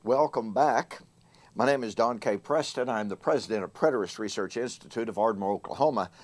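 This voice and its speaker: A man hosting a Bible teaching show. He speaks in a measured way, slowing down and growing louder to stress key words. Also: lowish voice. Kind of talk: solo Bible teaching talk to camera.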